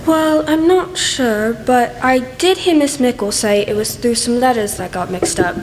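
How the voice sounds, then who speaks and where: A woman's voice: a little high, a little slow, close to an everyday voice. A woman playing a fragile, trusting young woman in love, speaking plaintively in a radio play. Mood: bewildered. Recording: reverberant hall, faint noise.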